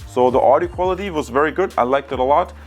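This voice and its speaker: A man's voice: mid-range voice, quick pace. Upbeat male reviewer, music behind. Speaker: product reviewer, video host. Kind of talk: casual unscripted review summary.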